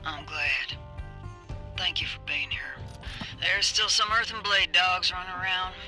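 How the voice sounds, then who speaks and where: An actress playing a tough, vengeful bounty hunter in a video game, blunt and hard-bitten with a folksy way of talking. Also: female voice, fairly low pitch, measured pace, pushed and bright. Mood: grim, reflective. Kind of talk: dramatic video game voice acting. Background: music.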